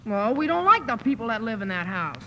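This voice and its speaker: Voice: medium pitch, very animated, brisk, dull audio, noisy background. An actor playing a brash, mischievous, defiant neighborhood boy in 1940s radio acting, on a reverberant old broadcast. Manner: blunt, defiant.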